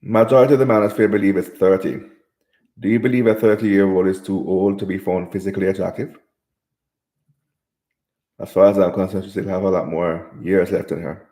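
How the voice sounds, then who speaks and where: A man's voice: low-pitched, steady tone, a little fast, long pauses, dark tone, roomy sound. A man from Jamaica hosting a live stream, reading viewer comments aloud and answering them in casual chat.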